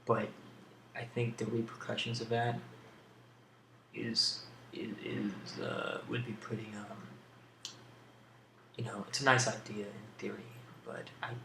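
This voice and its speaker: A man hosting a casual ASMR question-and-answer video, speaking in a halting, rambling, hesitant way on an amateur home recording. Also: fairly low pitch, flat delivery, vocal fry, bright, forceful tone, reverberant, slight background noise, low-quality recording. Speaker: video host.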